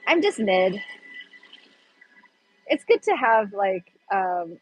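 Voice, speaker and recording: A young woman with a Pacific Northwest American accent chats casually to her livestream viewers. Her voice is airy and a little breathy, and she wanders between thoughts while she hunts for the right words. Soft music plays faintly in the background. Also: mid-range voice, sing-song, slow, dry recording, poor audio. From Pacific Northwest, United States. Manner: rambling, chatty, searching for words. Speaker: livestream host.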